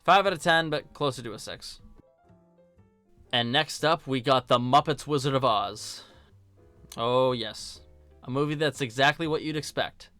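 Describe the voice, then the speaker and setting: A man's voice: medium pitch, a little slow, long pauses, sharp tone, smooth, no echo, soft background music. A man narrating a scripted film review in a casual, joking, sarcastic and colloquial way. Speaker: video host and film reviewer.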